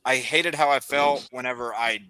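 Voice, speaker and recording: A man's voice: mid-range voice, normal speed, over a noisy crowd, low-quality recording. A man chatting casually on a group call, rambling and often restarting his sentences.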